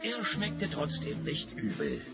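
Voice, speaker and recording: An actor playing a dry, sarcastic private detective in a radio play, speaking in a deadpan, ironic way. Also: male voice, high-pitched, takes it slowly, loud music behind the voice, full character voice. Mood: wry narrating. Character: dry, sarcastic male private detective, unimpressed and quick-witted. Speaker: lead detective and narrator. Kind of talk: radio play dialogue and first-person narration.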